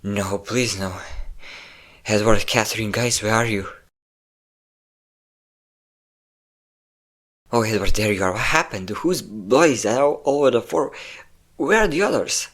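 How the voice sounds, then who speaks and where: A man's voice: on the low side, normal speed, fairly bright tone. A man playing a possessive, obsessive centuries-old vampire in a dramatic romantic audio roleplay, intense and theatrical in delivery. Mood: alarmed. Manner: intense, theatrical, swinging between tender and threatening. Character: possessive obsessive five-hundred-year-old male vampire lover, menacing, volatile.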